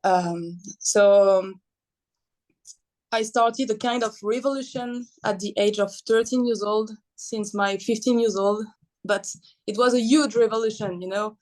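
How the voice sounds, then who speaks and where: A young woman from Belgium, a guest athlete telling her story in a casual, animated interview, laughing often. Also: mid-range voice, measured pace, rough recording. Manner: animated, laughs often, non-native phrasing.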